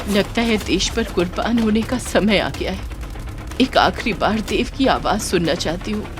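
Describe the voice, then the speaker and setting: A woman's voice: medium pitch, normal speed, natural voice. A woman plays a brave young army wife, devoted and self-sacrificing. She speaks earnestly in a mid-range voice over music, in story melodrama style. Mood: resolute, devoted. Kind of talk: audio story melodrama acting.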